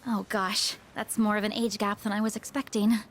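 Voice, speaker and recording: A woman's voice: medium pitch, little pitch movement, moderate pace, soft background music, lightly acted. An actress voicing an easygoing, teasing young working woman in a video game, with a friendly, coaxing manner. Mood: playful, coaxing. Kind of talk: English video game voice acting.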